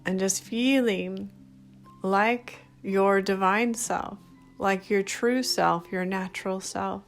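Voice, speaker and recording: A woman leading a guided meditation in a gentle, soothing, unhurried manner, speaking in short phrases. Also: medium pitch, fairly even intonation. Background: background music.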